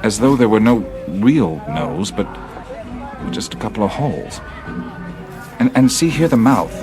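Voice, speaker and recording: An actor in a radio drama playing a thoughtful, troubled adventurer who speaks in a hesitant, musing way. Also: male voice, lowish voice, big pitch swings, measured pace, over music. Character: thoughtful, troubled male adventurer seeking answers.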